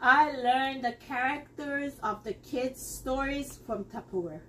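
A middle-aged woman hosting a live cooking demonstration in her home kitchen, talking to viewers in a chatty, excitable way. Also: high voice, takes it slowly, far from the mic, slight background noise, low-quality recording. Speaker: host selling kitchenware. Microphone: camera across the counter.

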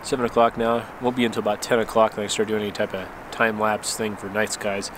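A man's voice: fairly low pitch, level tone, fast talker. A man in his thirties with an American accent, chatting casually and rambling to camera as an outdoor vlogger. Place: outdoors in mountain wilderness. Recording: outdoor ambient noise, wind.

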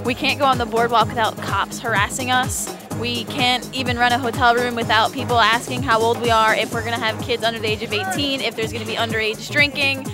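Woman in her twenties, high flat voice, emphatic, handheld mic on a boardwalk, loud music behind. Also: a little fast, few pauses. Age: 20s. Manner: complaining, emphatic. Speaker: interviewee. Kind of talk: street interview. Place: outdoors on a busy boardwalk. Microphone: handheld mic held by interviewer.

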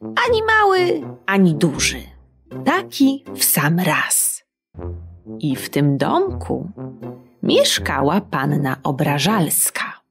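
A woman reading a children's audiobook in an expressive, sing-song storytelling manner. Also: low-pitched, slow pace, pauses often, soft background music.

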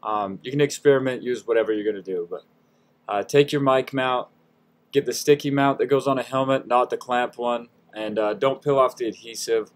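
A man's voice: mid-range voice, fairly even intonation, normal speed. A man giving a casual, folksy how-to voice-over in an informal, self-deprecating way. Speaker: DIY tutorial host.

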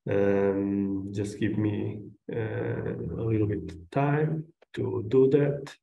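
A man in his forties with a Portuguese accent, giving an informal online lecture in a halting, hesitant way. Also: lowish voice, steady tone, vocal fry, warm tone. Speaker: guest lecturer, architect. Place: indoors on a video call, roomy sound. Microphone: video call at desk distance. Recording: roomy, echoing video-call sound.

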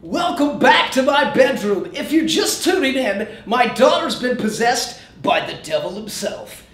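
A man playing an excitable sports-style commentator, calling play-by-play. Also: extremely high pitch, a little slow, soft background music, full character voice. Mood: announcing. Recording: roomy echo.

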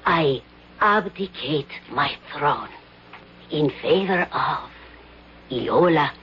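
An actress in a 1970s radio drama playing an anxious foreign palace maid who speaks broken, halting English. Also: female voice, sits low, expressive, a little hiss or hum, heavily acted. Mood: earnest. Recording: dull 1970s radio broadcast.

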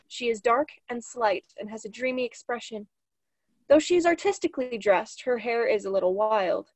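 Young woman, scripted narration, stage directions, higher voice, dry close sound. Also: dry recording, poor audio. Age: young adult. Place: small lit room at home, on a video call.

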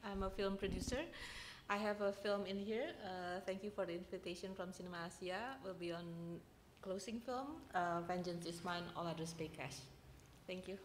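A woman's voice: lowish voice, normal speed, slight background noise. Woman from Indonesia, faint clicks behind. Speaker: film producer, panelist.

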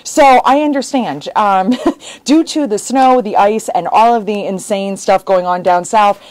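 A woman's voice: medium pitch, unhurried, darkish tone, no echo, rough recording. A woman in her thirties hosting a casual sewing-shop live stream from her studio. She chats, laughs often and jumps between topics. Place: indoor sewing shop studio with bags on shelves.

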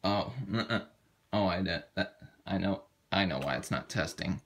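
A man giving a casual, halting how-to walkthrough, thinking aloud as he demonstrates a product. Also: low voice, creaky, a bit bright. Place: indoors at a table. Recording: very noisy home video, clicks and beeps.

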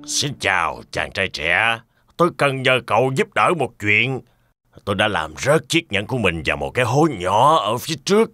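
A scheming fairy-tale villain for a cartoon. His delivery is theatrical and commanding, with a bright, forceful edge. The pitch swings widely as he schemes and threatens. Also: very animated, normal speed, dry recording, soft background music. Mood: sly, coaxing. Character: sly, menacing older villain man luring a boy. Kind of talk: animated fairy tale dub.

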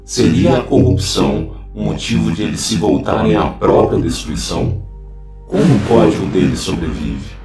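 An actor playing a cold, menacing, corrupted digital entity in a science-fiction audio drama, speaking formally and with detachment. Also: male voice, low voice, animated, recorded from a distance. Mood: cold, curious. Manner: formal, detached, deliberate phrasing. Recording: echoey, loud music underneath.

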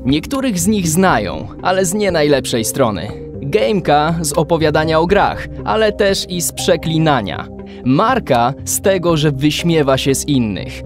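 The narrator of a children's adventure radio play. His voice sits a little high for a man. He tells the story as scripted narration over faint music. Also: expressive, measured pace. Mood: storytelling. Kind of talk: scripted audio drama narration.

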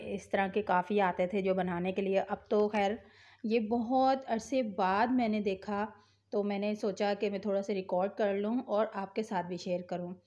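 Woman vlogger, mellow warm voice, chatty, unhurried, a few fillers. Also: medium pitch. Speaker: vlog host. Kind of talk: casual vlog voice-over.

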